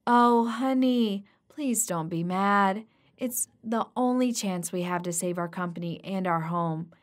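A woman's voice: sits high, slow pace, voice pushed up. A woman voicing a middle-aged mother who coaxes her daughter into an arranged engagement. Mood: coaxing. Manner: coaxing.

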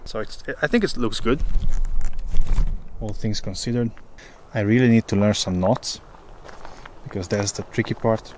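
A man in his thirties from Hungary, casually talking to a close-held camera outdoors in the woods, often pausing with uh. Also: on the low side, a little mellow, slight background noise. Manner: hesitant, many filler uhs. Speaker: vlogger.

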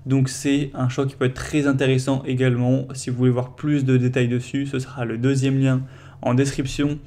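A man's voice: medium pitch, flat delivery, normal speed, warm tone. A man presenting a product comparison as a casual voice-over, explaining things in a conversational, loosely scripted way.

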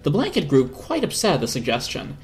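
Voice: mid-range voice, wildly swooping pitch, fairly quick, faint music behind. A narrator giving a dramatic reading of a storybook. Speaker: narrator, reader.

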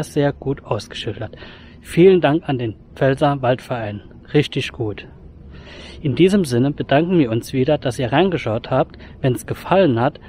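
A relaxed man in his forties chats to the camera while out on a forest hike, in a friendly vlog manner. His voice sits at a middle pitch. It is picked up by the camera's own mic, with a faint hiss of outdoor ambience behind him. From Germany. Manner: relaxed, friendly sign-off to viewers. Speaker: hiking vlogger. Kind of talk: casual vlog talk to camera. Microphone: camera mic, handheld selfie framing.

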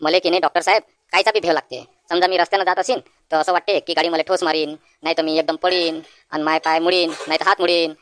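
A woman's voice: on the low side, steady tone, fast, few pauses, fairly bright tone, clean tone, no room sound, natural voice. A woman voicing an anxious, naive village man in a comic cartoon, rambling with hesitant fillers in a rural Vidarbha Marathi accent. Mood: fretful. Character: anxious rustic village man, naive worrier visiting a doctor.

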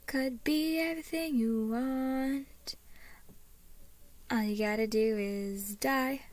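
Lady doing a creepy smug family hive mind, slow sneering sing-song, menacing storybook villain. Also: fairly high pitch, no echo. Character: sinister family speaking in smug, menacing unison.

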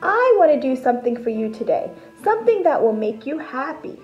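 A woman voicing an eager, devoted young male T-Rex from a storybook, excitable and insistent. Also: high-pitched, fairly quick, highs cut off, faint music behind. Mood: cheerful, eager. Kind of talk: storybook character voice.

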